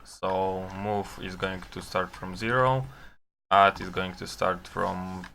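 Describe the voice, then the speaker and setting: Low, bright-voiced man, slow and halting. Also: steady tone, vocal fry, a little hiss or hum. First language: not English. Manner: halting, thinking aloud with long pauses. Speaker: programmer live-streaming his coding. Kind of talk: unscripted live coding commentary.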